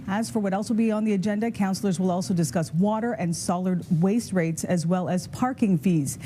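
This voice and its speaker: A woman delivering a news report from a newsroom, clear and informative. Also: medium pitch, fairly quick, mellow, a little hiss or hum.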